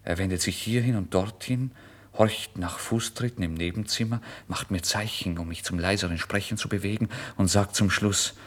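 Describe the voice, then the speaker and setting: An intense, low-voiced feverish narrator. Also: male voice, steady tone, moderate pace. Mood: tense recounting. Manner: theatrical, intense, swinging from hushed to loud outbursts. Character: starving, feverish, proud and volatile man recounting his torment. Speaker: first-person narrator. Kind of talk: dramatic literary monologue for radio.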